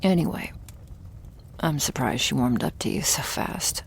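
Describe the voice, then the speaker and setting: A woman playing a wry, guarded young thrall in a vampire ASMR roleplay, gravelly and often slipping toward a whisper, with a dry, hesitant way of musing. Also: a bass voice, lively intonation, unhurried, exaggerated voice. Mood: wry, cautioning.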